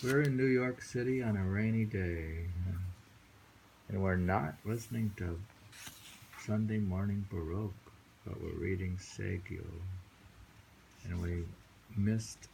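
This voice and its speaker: A man's voice: fairly low pitch, takes it slowly, creaky, pauses often, darkish tone, slight background noise, low-quality recording. A man reading poems aloud and chatting casually at home, musing with hums and chuckles. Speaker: reader and host. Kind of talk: casual chat and poetry reading. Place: home in New York City on a rainy day.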